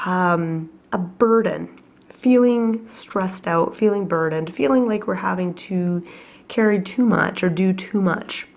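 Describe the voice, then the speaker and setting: A woman with a cold reads tarot at home, her husky voice sitting low and gravelly. She talks casually and reflectively, with plenty of ums along the way. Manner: chatty and reflective, with many fillers. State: has a cold, coughing. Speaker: tarot reader. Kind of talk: casual weekly tarot reading to camera.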